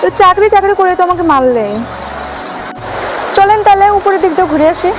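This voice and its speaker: Very high-voiced affectionate young woman, acted, music behind. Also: animated, a little fast, poor audio. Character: affectionate young woman in love, a big sister. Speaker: actress in amateur short film. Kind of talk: acted romantic drama dialogue. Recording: music over the dialogue.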